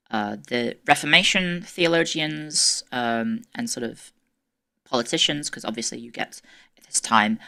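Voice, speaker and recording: A woman's voice: a bass voice, creaky, sharp tone. A woman giving a casual livestream history lecture, chatty and self-deprecating with many ums, her voice strained and tired. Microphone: desk microphone, peaking at times.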